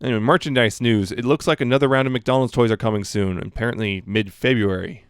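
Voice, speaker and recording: A man's voice: mid-range voice, fast talker, smooth, no echo, clean studio sound. A man co-hosting a podcast, talking casually through fan news items.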